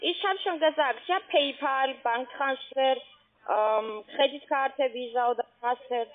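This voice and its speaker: A woman's voice: sits high, measured pace, faint music behind. A woman with a foreign accent in German, selling over the phone as a call centre agent and often tagging statements with a checking question. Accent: foreign, non-native German. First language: not German. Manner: frequent checking tag questions. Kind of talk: phone sales pitch. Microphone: telephone. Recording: muffled narrow phone line.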